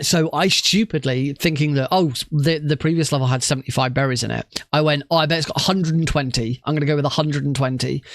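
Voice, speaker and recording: A man's voice: a little high, no echo, clean studio sound. A man with a cough and a congested nose, chatting casually to stream viewers in a rambling way with many restarts. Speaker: streamer and marathon organiser.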